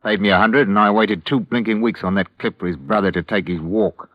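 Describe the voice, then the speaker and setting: An actor playing a surly, defiant small-time criminal with a British accent and slangy, gruff talk in an old radio crime drama. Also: male voice, lowish voice, brisk, no room sound, close to an everyday voice. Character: surly male small-time criminal, confessed hired killer. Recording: muffled, dry old radio recording.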